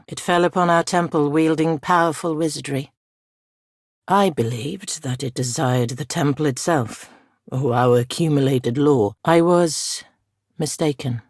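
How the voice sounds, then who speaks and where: A woman voicing an ancient, weary spirit guardian in a video game, speaking softly in solemn, measured, formal archaic phrases. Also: sits low, dry recording, clean studio sound. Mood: grave, mournful. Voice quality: soft, breathy, creaky. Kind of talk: video game character dialogue.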